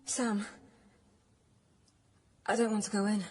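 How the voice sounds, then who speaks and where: A woman's voice: mid-range voice, level tone, takes it slowly, husky. A woman playing an anxious, stubborn young woman in a naturalistic radio drama. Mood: frightened. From northern England.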